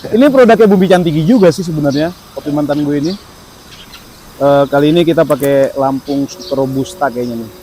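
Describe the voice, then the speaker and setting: A man's voice: fairly high pitch, dark tone, a little hiss or hum. Joking man in his thirties. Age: 30s. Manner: friendly, joking, quick to agree. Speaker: campsite host. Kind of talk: casual hosted chat. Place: outdoor riverside campsite.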